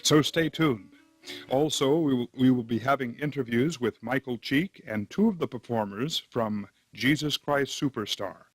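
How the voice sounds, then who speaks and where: A man hosts a radio program with a scripted introduction. His voice is medium in pitch, with soft guitar music playing behind him. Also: moderate pace, slightly rough, no room sound. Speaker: radio show host. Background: faint guitar music.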